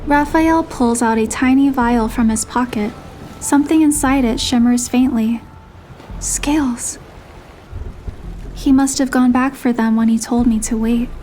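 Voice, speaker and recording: A woman's voice: on the high side, fairly even intonation, unhurried, mellow, a little hiss or hum. A woman reading scripted, dramatic story narration. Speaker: narrator and voiced player character of a game story. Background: music behind the voice.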